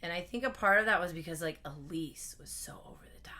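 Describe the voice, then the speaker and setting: A young woman with a hoarse voice, a podcast guest chatting casually at home, laughing often and trailing off mid-sentence. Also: fairly low pitch, quick pace, bright, forceful tone. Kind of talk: casual interview chat. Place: family home.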